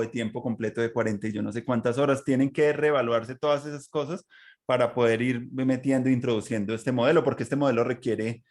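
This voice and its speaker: A man with a medium-pitched voice hosts a podcast interview. He talks reflectively and conversationally, building long, winding sentences and repeating words as he goes. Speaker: podcast host.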